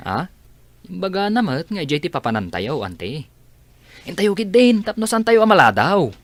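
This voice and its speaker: A man's voice: high-pitched, big pitch swings, normal speed, no room sound. A man playing an easygoing provincial young relative in a radio drama. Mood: practical. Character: easygoing provincial nephew guiding his relatives.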